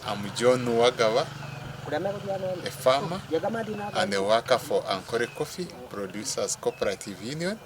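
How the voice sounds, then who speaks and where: A man with a Ugandan accent giving a measured, explanatory testimonial as a farmer. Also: mid-range voice, animated, background noise. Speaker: coffee farmer and cooperative worker. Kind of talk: impromptu testimonial.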